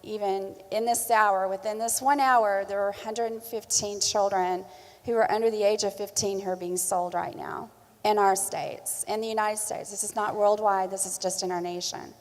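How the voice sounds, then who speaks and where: A woman speaks earnestly as a panel guest, her tone sober. Her pitch sits in the middle, and a large hall adds a long reverb to her voice. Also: fairly even intonation, normal speed, a little mellow. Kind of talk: personal panel answer.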